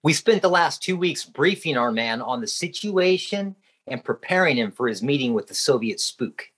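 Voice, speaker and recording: An actor in a radio play playing a purposeful government agent running a secret experiment. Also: male voice, mid-range voice, expressive, normal speed, fairly bright tone, natural voice. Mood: businesslike. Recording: echoey room.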